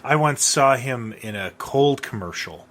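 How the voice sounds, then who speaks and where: A man's voice: mid-range voice, expressive, unhurried, a bit bright. A middle-aged man co-hosting a podcast in a casual, conversational way. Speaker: podcast co-host. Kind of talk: casual chat. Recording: faint background noise.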